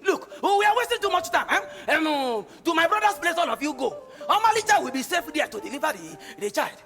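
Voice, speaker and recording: A man's voice: very high-pitched, sing-song, brisk, sharp tone, exaggerated voice. A Nigerian man on stage playing an anxious, commanding family patriarch, speaking urgently with hesitations and repeats. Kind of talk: stage play acting. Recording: echoey stage hall, music behind.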